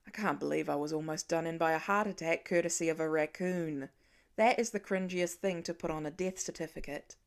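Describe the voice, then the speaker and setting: A woman's voice: low voice, a little fast, voice pushed down. A protective father in his middle years, acted out by a woman narrator. He talks in wry asides and urgent calls, as a storybook character devoted to his family. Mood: wry, casual. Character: protective middle-aged father, gruff then frantic with worry.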